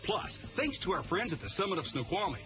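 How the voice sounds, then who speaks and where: A man's voice: high voice, very animated, a little fast. A man reading a scripted, upbeat retail advert for a car dealership. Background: music.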